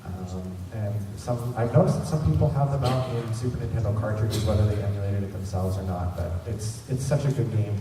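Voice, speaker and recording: A man's voice: on the low side, brisk, few pauses. A man hosting a fan convention panel, talking informally and a bit ramblingly to a live audience in a big hall. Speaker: panel host running a fan convention trivia session. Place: large indoor convention hall. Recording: very echoey hall from far off, noisy. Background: room ambience and noise.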